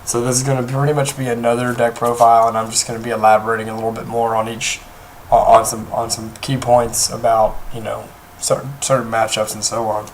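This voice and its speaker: A young man with a hoarse voice, talking casually through a card game deck, rambling with many pauses and restarts. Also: medium pitch, level tone, a little hiss or hum, low-quality recording. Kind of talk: casual deck profile talk. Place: indoors at a table, off camera.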